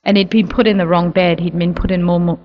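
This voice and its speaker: A woman speaks to a church audience, earnest and soft-spoken, with the occasional um. Her voice sits a little low for a woman. It carries the long reverb of a big hall. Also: fairly even intonation, fast talker, dull audio. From New Zealand. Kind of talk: church talk telling a personal story.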